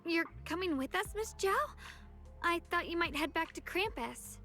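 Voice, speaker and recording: A woman's voice: very high voice, lively intonation, normal speed, fairly bright tone, soft background music, full character voice. An actress playing a cheerful, eager, friendly young woman in an anime-style game. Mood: curious, unsure.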